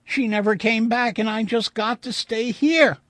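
A man voicing the timid, plaintive ghost of a little girl in a storybook reading, childlike and halting in speech. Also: extremely high pitch, steady tone, a bit bright, no room sound, studio quality, voice pushed up. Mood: plaintive, wistful. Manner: childlike, halting, stammering when upset.

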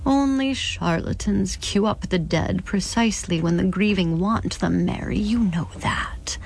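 A woman voicing a young male spiritualist who speaks gently but with grave warning. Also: mid-range voice, unhurried, creaky, a little hiss or hum, low-quality recording.

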